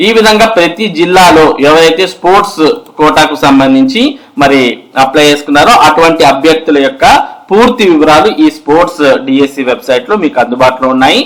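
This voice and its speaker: A man's voice: a little high, fast, fairly bright tone, rough recording. A man explains news updates step by step in an instructional way, addressing viewers directly. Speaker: host of an education and job news channel.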